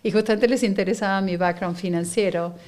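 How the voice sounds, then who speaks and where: A middle-aged woman from Argentina with an Argentine Spanish accent, a guest speaking in a measured, reflective interview manner. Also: medium pitch, fairly even intonation, a little mellow. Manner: reflective, measured, with frequent fillers and laughs. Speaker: guest, company director. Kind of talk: conversational interview.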